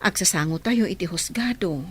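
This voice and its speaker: A firm motherly type in a radio soap, kinda low voice, earnest and reassuring. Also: animated, normal speed, slightly rough. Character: firm, reassuring motherly elder of orphaned children. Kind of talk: Filipino radio drama acting.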